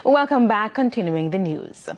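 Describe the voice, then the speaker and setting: A woman anchors a television newscast, reading the report in a formal, measured delivery. The sound is close and dry. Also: mid-range voice, animated, dry recording, dull audio. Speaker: news anchor. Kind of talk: scripted news reading.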